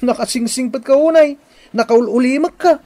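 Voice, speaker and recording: A woman's voice: high voice, moderate pace, warm tone. A woman playing a mischievous schoolboy sidekick in a radio drama, with a teasing, coaxing manner.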